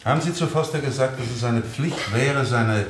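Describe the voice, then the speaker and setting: An actor playing a formal, insistent courtroom examiner who questions witnesses in a measured way in a radio play. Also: male voice, mid-range voice, slight background noise, lightly acted. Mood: formal, probing. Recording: echoey room, 1970 radio play.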